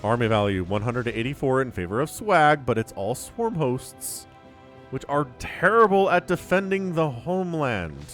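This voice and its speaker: Animated man casting a strategy game. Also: medium pitch, moderate pace. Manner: chatty, informal, slangy asides. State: sniffly with a cold. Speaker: esports shoutcaster. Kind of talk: casual live game commentary. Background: music.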